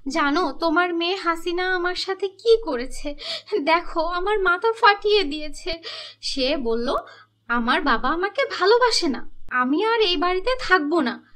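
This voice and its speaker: High-pitched scheming stepmother, played by a woman. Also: expressive, a little slow, a bit bright, exaggerated voice. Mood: accusing. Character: scheming stepmother, melodramatic and self-pitying.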